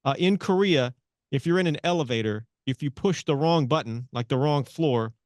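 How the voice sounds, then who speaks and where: A man hosting a radio interview, chatty and animated as he asks his guest questions. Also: fairly high pitch, normal speed, no echo. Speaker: radio host. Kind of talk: casual interview chat. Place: radio studio.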